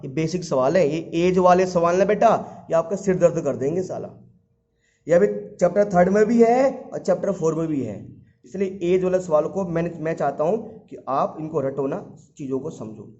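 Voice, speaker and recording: A man's voice: high voice, a little mellow. A man teaching maths informally, repeating himself as he explains step by step and posing questions that he answers himself. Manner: repetitive, asks questions and answers them himself; addresses students fondly as children. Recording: dull lo-fi audio.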